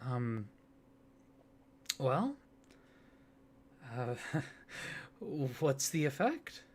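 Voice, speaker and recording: A man doing a soft-spoken ASMR roleplay, hesitant and flustered, with many fillers, restarts and awkward apologies. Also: medium pitch, big pitch swings, very slow.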